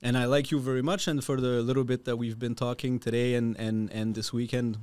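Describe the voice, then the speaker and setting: A man hosting a casual interview, talking in halting phrases with many restarts. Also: mid-range voice, level tone, darkish tone, smooth, no echo. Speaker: podcast host.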